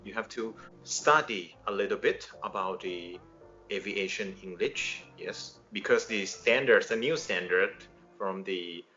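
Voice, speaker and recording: Thai man, native Thai speaker, controller interviewee; low, unhurried, repetitive, with tag questions, music underneath. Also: pushed and bright, muffled recording. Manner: repeats phrases, checks listener with tag questions. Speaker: air traffic controller being interviewed. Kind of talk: informal interview answers. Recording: music playing behind the voice.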